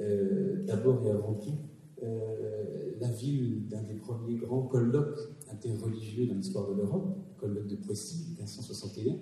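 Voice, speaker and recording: Man moderating in a formal, hesitant way, dark tone, heard from far off on cassette in a reverberant hall. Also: fairly low pitch. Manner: formal, hesitant, many fillers. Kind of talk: formal introduction and interview at a public event. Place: public hall. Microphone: recorded from the audience on cassette. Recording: distant, echoey hall on cassette.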